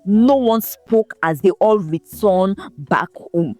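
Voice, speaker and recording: A woman narrating a folktale in a scripted, expressive, dramatic storytelling manner. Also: mid-range voice, slow, faint music behind.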